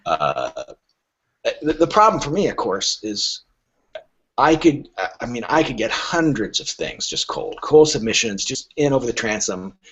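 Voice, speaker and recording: A man's voice: medium pitch, sing-song, unhurried, a bit bright, husky, dull audio. A middle-aged man speaking conversationally as an interview guest, wry and joking, with anecdotal answers. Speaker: literary agent, podcast guest.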